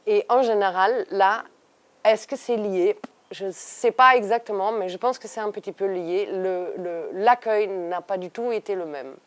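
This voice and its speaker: A woman from Germany, speaking as an artist guest in a conversational interview. She tells her stories with animation, circling back and restarting her sentences as she thinks. Her voice sits in a middle pitch. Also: a little slow. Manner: thoughtful, animated storytelling with many restarts.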